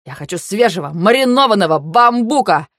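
Woman doing a yelling young male mage, foul-mouthed, dry close voice, audiobook. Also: medium pitch, expressive, brisk, no room sound. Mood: ranting. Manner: ranting, yelling.

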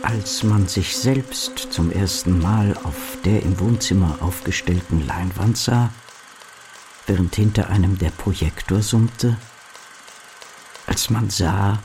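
A man performing as a pensive narrator recalling memories, in a deliberate literary radio-drama reading. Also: lowish voice.

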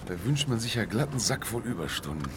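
A man's voice: on the low side, sing-song, quick pace, noisy background. A man playing a blunt, jokey working-class regular at a snack bar in a radio drama, colloquial and matey. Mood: matey, envious. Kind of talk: German radio drama acting.

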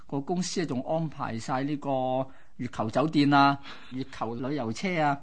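香港粵語男聲，中等音高，閒談式講解. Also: male voice, mid-range voice, moderate pace. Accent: Hong Kong Cantonese. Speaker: radio co-host. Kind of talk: casual explanatory radio chat.

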